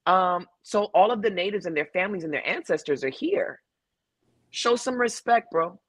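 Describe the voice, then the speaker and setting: A woman's voice: fairly low pitch, lively intonation, moderate pace, a bit bright, dry recording. Blunt emphatic woman on a video call. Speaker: panel guest. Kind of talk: casual panel chat. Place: home in Hawaii.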